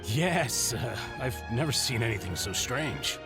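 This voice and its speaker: Over background music, a genteel elderly gentleman for a video game, kindly and courteous. His pitch moves in lively swings, and his delivery is polite and measured. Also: vocal fry. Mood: genial, courteous. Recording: music under the voice.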